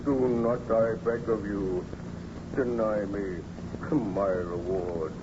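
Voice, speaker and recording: An actor playing a hideous outcast monster, pleading and menacing in a solemn, declamatory old radio horror style. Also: male voice, lowish voice, fairly even intonation. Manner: solemn, declamatory, drawn-out phrasing. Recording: noisy, muffled old radio recording.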